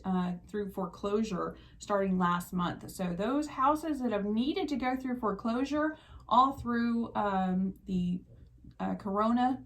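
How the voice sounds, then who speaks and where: A woman hosting a radio show, chatty and quick to laugh, talking casually with her guest about real estate. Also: mid-range voice.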